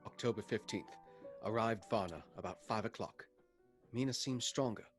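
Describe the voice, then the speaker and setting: An actor in a radio play voicing a sober, anxious Englishman who reads out his journal in a measured, grave way. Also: fairly low pitch, soft background music, natural voice.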